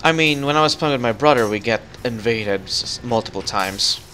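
A man's voice: medium pitch, normal speed, bright, forceful tone, a little hiss or hum. A man hosting a gaming channel, chatting casually as he guides a friend through a co-op game, often restarting his sentences. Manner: guiding and giving directions, with fillers and restarts. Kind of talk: casual co-op gameplay chat.

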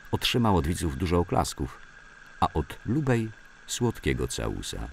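A man reading scripted memoirs as a narrator, in a measured storytelling manner. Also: very deep voice, slight background noise.

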